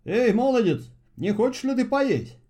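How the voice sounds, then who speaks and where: A mysterious storybook stranger tempting a lad with gifts, performed by a man. The delivery is high, brisk and coaxing, with animated swings of pitch. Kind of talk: storybook fairy-tale voice acting.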